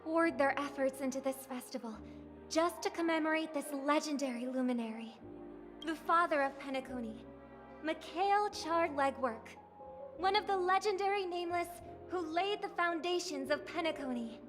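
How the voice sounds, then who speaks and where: A high, light voice belongs to a poised young idol, acted by a woman as she delivers a stately welcome speech in a game. Every phrase is measured and ceremonial. Clear music plays behind her. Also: lightly acted. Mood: ceremonious. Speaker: festival host. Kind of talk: video game voice acting.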